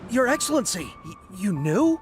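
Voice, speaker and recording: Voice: mid-range voice, big pitch swings, moderate pace, soft background music, natural voice. An actor as an earnest soldier, swinging expressively. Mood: startled. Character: earnest, deferential soldier devoted to the cause. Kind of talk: scripted video game voice acting.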